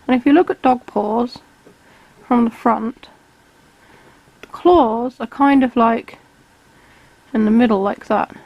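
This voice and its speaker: A woman with a mild cold, a touch hoarse, talks through a drawing tutorial in an easy step-by-step way. Her voice sits a little high. The recording is close and dry, with no room sound. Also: slow pace, long pauses, no room sound, lo-fi sound. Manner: relaxed, explains step by step while drawing. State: has a cold, slightly hoarse. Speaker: art tutor.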